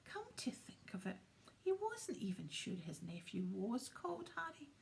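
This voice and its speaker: A woman's voice: on the high side, sing-song, normal speed, vocal fry, a little hiss or hum. A woman voicing the muttered, half-whispered thoughts of a grumpy, uneasy middle-aged office man.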